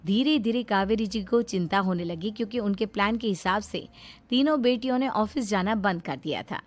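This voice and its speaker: A woman's voice: medium pitch, expressive, moderate pace. A woman narrating a scripted moral story as the storyteller.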